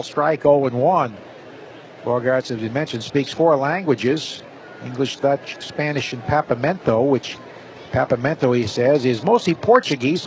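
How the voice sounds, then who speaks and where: A man's voice: medium pitch, darkish tone, low-quality recording. A man doing live play-by-play commentary as a baseball announcer. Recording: clear outdoor ballpark noise. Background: outdoor stadium ambience.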